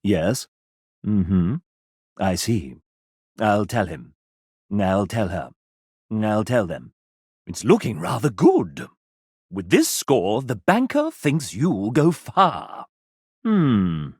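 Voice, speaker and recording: Sassy, wildly swooping game show host. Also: male voice, on the low side, sing-song, takes it slowly, long pauses, darkish tone, husky, no room sound, studio quality, exaggerated voice. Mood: arch, mock-regretful. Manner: grandiloquent, arch, melodramatic. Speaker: voice actor playing a game show host character. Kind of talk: theatrical video game character acting as a game show host.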